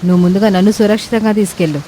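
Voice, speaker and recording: A woman's voice: medium pitch, fairly even intonation, rapid-fire, mellow, a little hiss or hum, natural voice, poor audio. A woman voicing a haughty, spiteful young daughter-in-law in a story, scornful and snappish. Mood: angry, dismissive.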